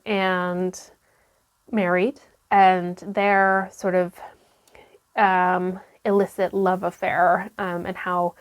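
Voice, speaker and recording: A young woman chatting casually to camera about her reading, her voice still croaky after illness, with lots of ums and restarts. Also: mid-range voice. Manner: rambling, many ums and restarts. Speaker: book vlogger.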